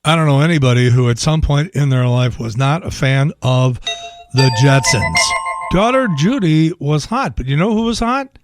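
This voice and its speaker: Hoarse middle-aged host. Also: male voice, fairly high pitch, expressive, normal speed, loud music behind the voice. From south side of Chicago. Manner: rambling, wry, chuckling asides. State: hoarse from laryngitis. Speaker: radio show host. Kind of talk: casual talk monologue.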